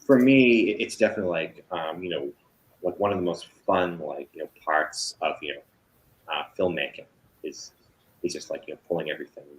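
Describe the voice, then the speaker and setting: A man talking as an author guest in a casual interview, halting with many fillers and restarts. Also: low-pitched, a little hiss or hum, low-quality recording.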